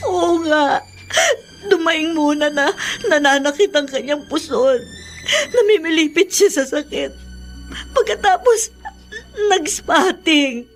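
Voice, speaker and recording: A woman's voice: high voice, slow pace, faint music behind. A woman acting an anxious, devout older mother in a Filipino radio drama, with a pleading, tearful, melodramatic delivery. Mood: worried.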